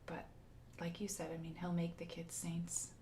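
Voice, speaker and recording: A woman, a new mother and guest, chatting softly and supportively, often dropping to a near whisper. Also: on the low side, monotone, normal speed, a little hiss or hum. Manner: soft-spoken, often drops to a whisper, affirming. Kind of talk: casual chat.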